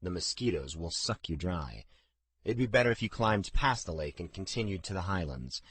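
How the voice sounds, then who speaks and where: A man voicing a plain, straightforward middle-aged father who speaks in a measured way. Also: low-pitched, animated, fairly bright tone, breathy edge, full character voice. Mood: wry.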